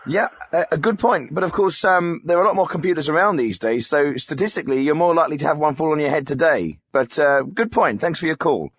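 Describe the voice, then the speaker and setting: A smug, tetchy British phone-in host on a spoof radio show. His dry, close studio voice is fairly high and very animated, patronising and opinionated. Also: fast talker, hardly any pauses, husky, no echo. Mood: brisk, patronising. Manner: opinionated, patronising, filler-strewn patter.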